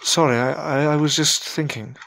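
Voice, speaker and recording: A man's voice: on the high side, fairly even intonation, moderate pace, creaky, a bit bright. An actor playing an anxious young man who works spells in an audio drama, hesitant and old-fashioned in his politeness. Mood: doubtful. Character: anxious, proper young male spell-caster in his twenties.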